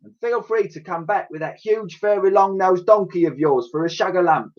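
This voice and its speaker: Man doing a high, cheery sweet seller. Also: moderate pace, lightly acted. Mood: cheerful. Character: cheery, eager male sweet seller at market. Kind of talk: storybook character voice. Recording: dull, lo-fi recording.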